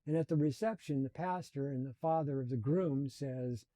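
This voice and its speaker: A man in his seventies telling his life story in a slow, reflective and earnest way. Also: mid-range voice, dark tone, no echo. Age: 70s. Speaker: channel host. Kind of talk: personal storytelling.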